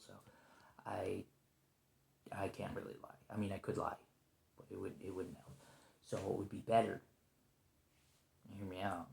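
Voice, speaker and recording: A man acting a stressed, weary captive special agent in an ASMR roleplay, rambling, halting and apologetic as he pleads, in a roomy recording. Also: lowish voice, vocal fry, darkish tone. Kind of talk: ASMR roleplay monologue, improvised conversational acting.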